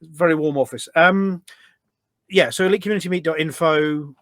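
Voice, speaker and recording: Higher-pitched British man, chatty podcast guest. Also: normal speed, a bit bright, no echo, clean studio sound. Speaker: podcast guest, community event organiser. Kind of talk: casual chat. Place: office.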